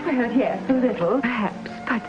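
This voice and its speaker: An actress as a high-voiced, flustered, prim mother. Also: moderate pace, close to an everyday voice. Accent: American. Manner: prim, flustered, easily cowed. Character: fretful, proper mother newly settled out West. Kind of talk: 1950s TV western acting. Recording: dull old TV soundtrack, music behind.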